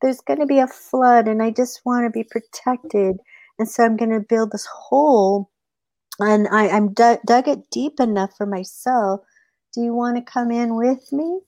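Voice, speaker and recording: A woman's voice: on the high side, a little slow, darkish tone, no echo. A woman voicing a sly male trickster rabbit who feigns innocence and alarm in an oral animal folktale. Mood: coaxing.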